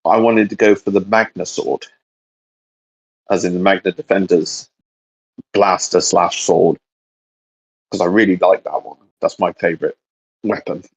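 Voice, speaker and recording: A man's voice: sits low, steady tone, unhurried, vocal fry, pauses often, slightly rough, rough recording. A man co-hosting a casual, unscripted chat about geeky fan topics. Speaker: co-host of a fan discussion show.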